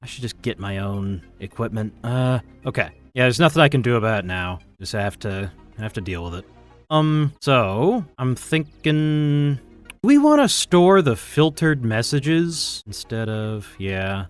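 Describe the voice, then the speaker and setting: A man's voice: mid-range voice, lively intonation, a little slow. A man giving casual, informal live coding commentary as a streaming programmer, muttering to himself as he works. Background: chiptune-style keygen music.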